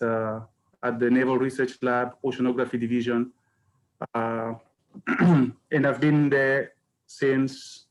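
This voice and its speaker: A man's voice: medium pitch, rough recording. A man in his fifties, a scientist introducing himself in a webinar over a video call, explaining in a good-humored way. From Cameroon. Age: 50s. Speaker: scientist panelist.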